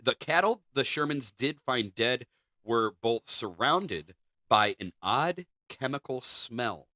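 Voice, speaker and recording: A man's voice: mid-range voice, expressive, slow, bright, forceful tone, no room sound, clean studio sound. A man co-hosting a podcast, moving between reading scripted narration and informal chat. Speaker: podcast co-host.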